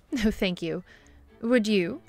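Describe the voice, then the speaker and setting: A woman plays a bishop's wife in an audio drama. She is kindly and refined, speaking politely and with some hesitation at a medium pitch. Music sits behind her voice. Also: slow pace, darkish tone. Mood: wistful. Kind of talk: radio-play style dramatic acting.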